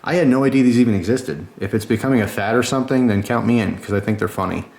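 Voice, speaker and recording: A man with a fairly low voice talks through a product review. He is informal and chatty, sounding enthusiastic as he shares his opinions in a casual style. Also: quick pace, vocal fry, a little mellow.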